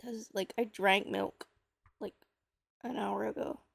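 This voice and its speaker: A woman's voice: medium pitch, big pitch swings, takes it slowly, vocal fry, fairly bright tone, husky, no echo. A woman in a casual, playful, self-deprecating manner, as in an informal read-aloud recording. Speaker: reader on a personal audio series. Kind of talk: casual chat and reading a novel aloud.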